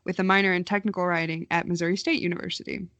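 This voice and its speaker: A woman's voice: on the low side, flat delivery, rapid-fire, fairly bright tone, dry recording. Young American woman, playful, in dry sound. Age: early 20s. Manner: playful, joking. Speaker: podcast co-host. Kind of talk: casual chat.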